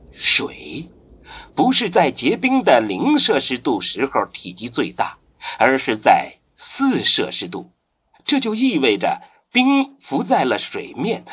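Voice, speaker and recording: A man's voice: medium pitch, a bit bright, a little hiss or hum. A man giving scripted narration for a radio drama in a theatrical storytelling manner. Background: music.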